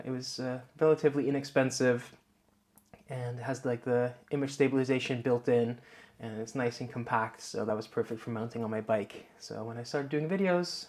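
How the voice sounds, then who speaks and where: A Dutch man talks casually to his own camera at a middling pitch, full of filler "uh"s and meandering explanations. His English carries a light Dutch accent. The sound is close and clean from a small clip-on mic. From Netherlands. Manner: relaxed, many filler "uh"s, rambling explanations. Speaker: YouTuber. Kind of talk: casual vlog talk to camera. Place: home office, indoors. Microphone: wireless clip-on mic.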